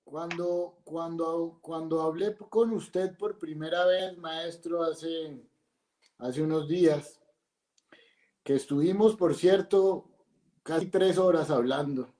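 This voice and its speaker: Slow, effusive man in echoey room. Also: fairly high pitch, steady tone, dark tone. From Italy. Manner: effusive, admiring, hesitant restarts. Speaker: host. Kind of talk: casual interview.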